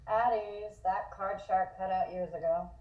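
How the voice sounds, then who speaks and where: A woman's voice: mid-range voice, fairly even intonation, measured pace, natural voice. Woman playing a dry flapper, echoey room. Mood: dry, guarded. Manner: dry, aloof. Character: cool, sharp-tongued married flapper woman. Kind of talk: comic script table read. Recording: echoey, muffled call audio.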